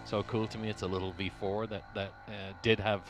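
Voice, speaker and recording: A middle-aged man from Dublin with an Irish accent, talking as an interview guest at a race track, pausing often and restarting his sentences. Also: on the low side, little pitch movement, over music. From Ireland. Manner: hesitant, many restarts and fillers. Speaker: interview guest, racing team executive. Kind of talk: casual interview. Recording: faint noise at a race track.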